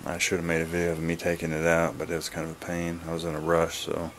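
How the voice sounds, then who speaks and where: A man giving a casual, laid-back vlog update in a halting, plain-spoken way, recorded on a handheld camera in a garage. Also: deep voice, monotone, a bit bright, a little hiss or hum. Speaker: wood-splitting video blogger. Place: garage, open to outdoors. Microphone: handheld camera's built-in mic.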